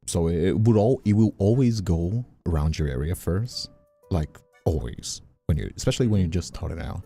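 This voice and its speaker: Male streamer from Brazil, Portuguese native, very dark husky tone, chatty and casual, soft music behind. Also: low-pitched, lively intonation, moderate pace. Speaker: streamer and voice actor, hosting. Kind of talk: casual livestream chat.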